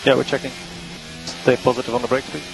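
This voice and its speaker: A man working as a race engineer, giving measured, matter-of-fact instructions over team radio and repeating the key ones. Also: mid-range voice, little pitch movement, vocal fry, music in the background, low-quality recording. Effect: team radio transmission. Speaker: Formula One race engineer. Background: vehicle and engine noise.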